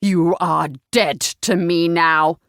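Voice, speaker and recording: A blustering middle-aged gentleman of a historical romance , voiced by a female narrator. He is stern, haughty and accusing, barking and spitting his words. The sound is close and dry. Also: fairly low pitch, steady tone, slow, no echo, pitched below the performer's own voice. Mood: cold fury. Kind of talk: historical romance audiobook acting.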